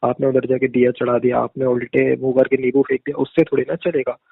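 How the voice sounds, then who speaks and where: A man's voice: medium pitch, flat delivery, fast, vocal fry, husky, no echo. A man calling in for a casual phone conversation, speaking agreeably with repeated affirmations and somewhat rambling phrasing. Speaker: caller. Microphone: phone call. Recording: muffled narrow-band phone call recording.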